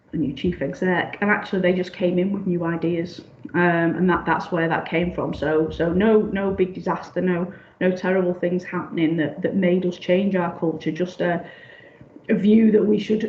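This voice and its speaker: Croaky woman. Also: on the low side, dark tone. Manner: halting, many ums and restarts. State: croaky, clearing her throat. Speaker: union staff side lead presenting a seminar. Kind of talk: seminar presentation over slides. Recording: echoey, dull lo-fi sound.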